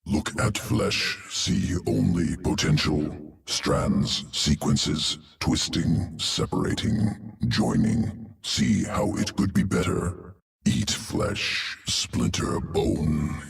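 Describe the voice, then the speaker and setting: A man's voice: very slow, exaggerated voice. An actor playing a detached male alien creature who engineers flesh, speaking in terse broken phrases. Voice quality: gravelly, very deep. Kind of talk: video game cutscene.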